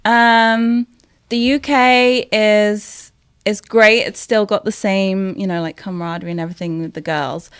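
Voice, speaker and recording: A woman's voice: medium pitch, steady tone, unhurried, smooth, no echo. A young English woman with a neutral British accent, chatting as a guest on a podcast, talking loosely with lots of fillers. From England. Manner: chatty, many fillers and hedges. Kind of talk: casual chat.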